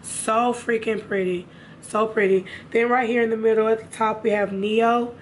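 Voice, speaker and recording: Young woman, raspy, hoarse, casual chatty vlogger, camera mic at home, faint hiss. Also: on the high side, steady tone, normal speed, rough recording. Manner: chatty, addresses viewers directly. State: hoarse from a sinus infection. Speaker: beauty vlogger. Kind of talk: casual makeup haul chat. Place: home room, indoors.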